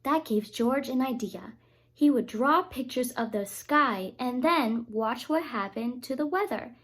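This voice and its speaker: A woman with a fairly high voice reads a picture book to young children at a very unhurried pace. She sounds like a teacher at story time, reading expressively and stopping for small explanatory asides. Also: animated, fairly bright tone.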